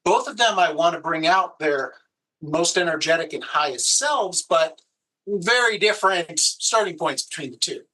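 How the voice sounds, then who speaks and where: A man with a high, bright voice chats casually about working on camera, handing out practical tips at an easygoing pace. He sounds like a video producer at ease with his guest. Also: animated, normal speed, poor audio. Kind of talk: casual advice conversation.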